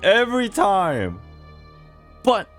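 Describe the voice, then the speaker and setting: A man's voice: high voice, wildly swooping pitch, slow, soft background music. A man giving casual, off-the-cuff live reactions as a game streamer.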